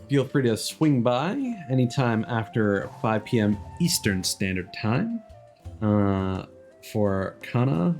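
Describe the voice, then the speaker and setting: Slow-paced, lively-pitched male game streamer. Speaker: livestreamer playing a video game. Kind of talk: casual stream commentary, reading along with game dialogue. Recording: music behind.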